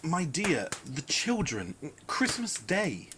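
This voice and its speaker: A man playing a poor, gentle father who speaks warmly to his family. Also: a little high, wildly swooping pitch, takes it slowly, background noise, full character voice. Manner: gentle, cheerful.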